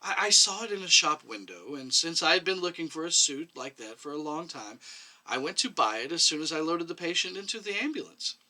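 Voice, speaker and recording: A man plays a meek woman medic under questioning in a story reading. The answers are quiet and hesitant, defensive yet plain-spoken. The tone is clear-edged and bright, with a lively rise and fall. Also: on the high side, brisk, full character voice, low-quality recording. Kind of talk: dramatic short story reading.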